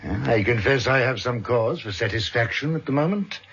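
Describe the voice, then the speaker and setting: An actor playing a suave, cold criminal mastermind in a vintage radio drama, speaking in a measured, pompous, formal way. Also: male voice, mid-range voice, lively intonation, fairly bright tone, husky. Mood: cold, self-satisfied. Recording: dull, muffled vintage radio recording.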